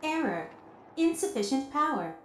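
A woman's voice: high voice, very animated, moderate pace, fairly bright tone, slight background noise. A woman voicing an automated facility announcement system in a video game, formal and impersonal. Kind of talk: public-address announcement. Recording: echoing public-address announcement.

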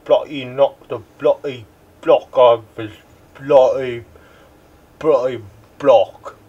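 A man's voice: mid-range voice, steady tone, slow pace, slightly rough, muffled recording, voice pushed down. A man putting on an exaggerated fake British accent, playing a blustering swearing bloke in a home living room.

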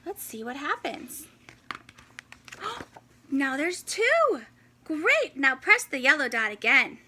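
Very high, bright woman reading to children. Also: slow pace, a little hiss or hum. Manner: animated, exclaiming, encouraging, with audible gasps. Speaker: teacher reading story time for children. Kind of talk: interactive children's picture book read-aloud. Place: indoors, in front of a fabric backdrop.

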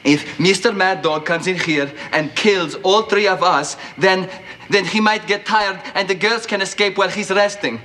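A naive, earnest cousin. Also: male voice, high voice, moderate pace, few pauses, sharp tone. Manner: earnest, stammering, sweetly literal. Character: naive cheerful foreign male cousin. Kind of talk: sitcom acting. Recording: noisy TV soundtrack with audience.

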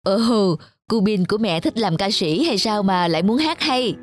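A gentle young mother in a children's cartoon, acted by a woman, with a mid-pitched voice. She speaks tenderly and corrects softly, over clearly audible music. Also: expressive, normal speed, natural voice. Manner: affectionate, softly admonishing. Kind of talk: animated children's moral tale dubbing.

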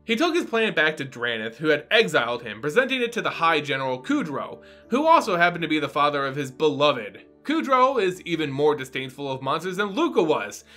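A man's voice: on the high side, pushed and bright. A man, narrating a story recap to camera in an emphatic storyteller's delivery. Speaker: YouTube host. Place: small home room, indoors. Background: background music.

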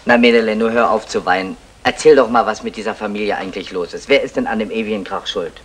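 An actor playing a wily small-time crook and meddling brother in an old German radio comedy, mock-formal and chummy. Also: male voice, fairly low pitch, quick pace, slight background noise, lightly acted. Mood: probing.

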